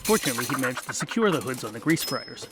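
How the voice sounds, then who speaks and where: A man's voice: medium pitch, sing-song, racing, background noise. A man narrating a scripted comic fantasy story in a wry, emphatic storytelling manner.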